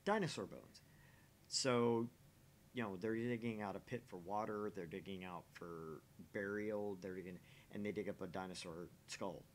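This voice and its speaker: A man with a somewhat low voice chats as co-host of a game stream. He lays out his thinking in a casual, explanatory way, restarting and repeating words as he goes. The recording carries a faint low rumble. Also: normal speed. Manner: explains at length with repetition and restarts. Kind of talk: casual chat, opinionated musing.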